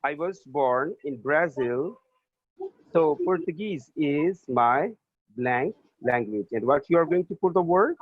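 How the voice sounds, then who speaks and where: Slow, spelling-out male teacher, tinny sound. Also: fairly high pitch, animated, dry recording. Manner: repeats and spells out each answer. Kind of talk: slow remote class lesson, spelling words aloud.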